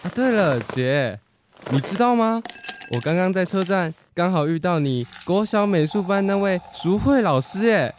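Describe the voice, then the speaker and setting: A man's voice: sits high, lively intonation, normal speed, slight background noise. A teenage boy acting a cheeky, unrepentant grown-up younger brother in a radio drama. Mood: casual, teasing. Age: teens.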